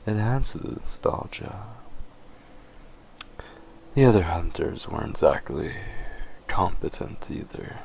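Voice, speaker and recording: A possessive, menacing male vampire, played by a man in an audio roleplay, speaking in a very low register at an unhurried pace. He is smug, teasing and condescending toward his prey. A faint layer of background noise sits under the voice. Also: lively intonation, vocal fry, a little mellow, full character voice. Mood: amused, nostalgic. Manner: slow, teasing, smug, often chuckling. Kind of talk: dramatic character audio roleplay.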